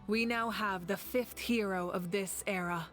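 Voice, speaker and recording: An actress playing a composed, knowing female leader in a video game, with a measured, poised delivery. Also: mid-range voice, fairly even intonation, fairly bright tone, soft background music, close to an everyday voice. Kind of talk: scripted video game voice acting.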